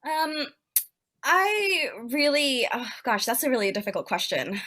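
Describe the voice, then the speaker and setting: Young woman from Peru, bright and chatty, filler-heavy casual talk, video call. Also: sits high, big pitch swings. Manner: chatty, many "like" fillers, quick laughs. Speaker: author guest. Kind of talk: casual interview chat.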